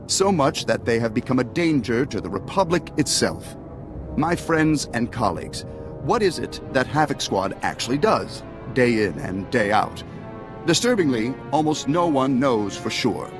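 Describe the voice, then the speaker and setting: An actor playing a scheming, self-righteous politician, speaking in a pompous, oratorical way in a video game. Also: male voice, mid-range voice, very animated, moderate pace, lightly acted. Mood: accusing, oratorical. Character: scheming, self-righteous senator, secretly a traitor, male. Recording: music behind the voice.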